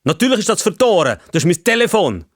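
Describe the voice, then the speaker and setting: A man's voice: high-pitched, very animated, quick pace, no echo, studio quality, voice pushed up. A man voicing an impatient, scolding male tram passenger in a children's story, with an exasperated manner and a Swiss accent. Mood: irritated.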